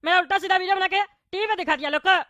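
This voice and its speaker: A performer voicing a loud, angry bald male politician, an indignant, threatening buffoon in a Hindi comedy cartoon. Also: extremely high pitch, rapid-fire, no echo, highs cut off. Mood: outraged. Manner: blustering, threatening, complaining.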